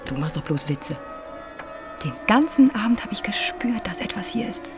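A woman playing a sensitive teenage daughter in a radio drama, hushed and often breathy. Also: mid-range voice, sing-song, normal speed, whispered, over music, lightly acted. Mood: wondering. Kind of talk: German radio drama acting.

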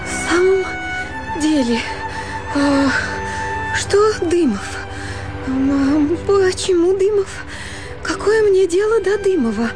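Radio drama role: young married woman, rapturous and halting, high-pitched, unhurried. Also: full character voice. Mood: agitated, thrilled. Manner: breathy pauses, theatrical, halting and rapturous delivery. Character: young married woman, dreamy, enraptured, wavering between thrill and fear. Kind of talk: Russian radio drama acting. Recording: music bed under the voice.

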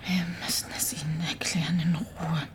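An actress playing a frightened but calculating farmer's wife in a radio play, murmuring tensely and urgently in a hushed, breathy voice. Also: female voice, sits low, flat delivery, moderate pace, a little hiss or hum, lightly acted. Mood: urgent worry.

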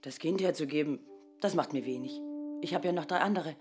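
An actress plays a poor village mother, blunt and hardened. Her voice is a little low, in standard German with radio drama delivery. Music is clearly heard behind her. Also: a little fast, close to an everyday voice. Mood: muttering, indifferent.

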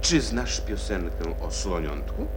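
Rude, madcap hatter, wildly animated. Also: male voice, deep voice, measured pace, over loud music. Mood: cranky. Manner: brusque, contradicting, theatrical. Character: mad male hatter, rude, pedantic, eccentric tea host. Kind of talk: radio play acting.

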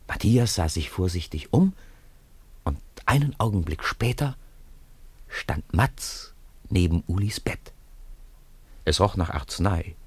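Low, husky male storyteller. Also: darkish tone, dry recording. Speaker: narrator. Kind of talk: scripted storytelling narration for a radio play.

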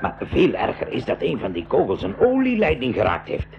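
Animated, practical uncle-professor. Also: male voice, medium pitch, brisk, gravelly. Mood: practical, reassuring. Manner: explanatory, matter-of-fact. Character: practical, reassuring adult uncle and professor, Januari. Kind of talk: 1980s school radio drama acting in Dutch. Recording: muffled 1980s radio with faint noise.

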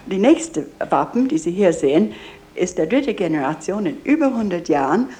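Mellow, even-toned woman guiding visitors. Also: lowish voice, normal speed. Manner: earnest, with slightly faulty grammar. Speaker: museum guide and owner of a memorial house. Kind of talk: guided tour talk.

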